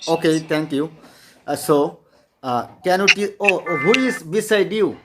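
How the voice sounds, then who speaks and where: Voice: medium pitch, a little slow, faint music behind. A host with a Bangladeshi accent chatting casually in simple English, often repeating phrases and questions. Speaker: host practicing English with strangers.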